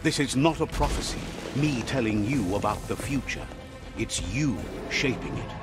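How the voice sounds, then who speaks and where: A man's voice: mid-range voice, normal speed, close to an everyday voice. A man playing a solemn storybook narrator in a video game, speaking scripted lines over music. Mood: solemn, urgent.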